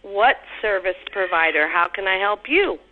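A woman's voice: medium pitch, lively intonation, moderate pace. A middle-aged woman on a thin phone line, speaking bluntly and combatively in irritated conversation. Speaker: prank call recipient. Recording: tinny band-limited phone line.

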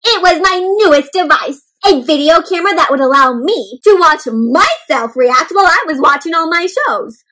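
A woman voicing a smug, comfort-loving male couch potato from a storybook, boasting in drawn-out exclamations about his gadgets. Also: high voice, expressive, pushed and bright, voice pushed up. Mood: excited. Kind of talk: storybook character reading.

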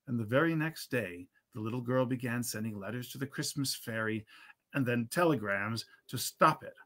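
A man in his fifties reading an old holiday tale aloud in an expressive, measured storyteller's manner. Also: mid-range voice. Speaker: reader at a literary reading series. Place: indoors at a computer, live stream.

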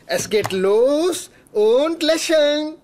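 A man's voice: very high voice, expressive, very slow, exaggerated voice. An actor playing a fussy, eager man in a dubbed sitcom, chatty and full of instructions. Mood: eager, instructing. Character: fussy, eager man coaching his friend. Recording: noisy sitcom audio with background ambience.

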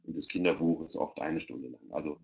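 Very deep-voiced man, dull call audio. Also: fairly even intonation, fairly quick, darkish tone. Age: middle-aged. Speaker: panel guest presenting a children's audio portal. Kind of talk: informal presentation in an online webinar. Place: indoor room, video call. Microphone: headset on a video call.

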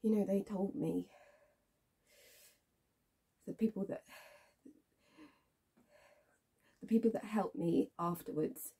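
A woman acting a dramatic monologue as a bitter, wounded young woman, halting and repeating her phrases, with a breathy, husky voice. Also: mid-range voice, fairly even intonation, heavily acted. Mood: hushed, tense. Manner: halting, repeats phrases, swings between hushed and intense.

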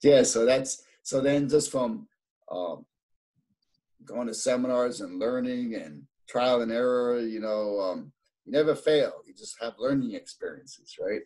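A man's voice: mid-range voice, expressive, unhurried, mellow, rough recording. A man in his fifties from New York City with a New York accent, a podiatrist guest chatting casually on a video call, rambling with many fillers. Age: 50s. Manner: rambling, many fillers and restarts, laughs easily. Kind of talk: casual interview. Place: indoor office.

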